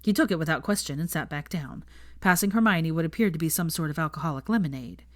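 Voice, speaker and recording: A woman's voice: low voice, fast talker. A woman reading a story aloud as a narrator, in a scripted storytelling style. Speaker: audiobook narrator of fan fiction.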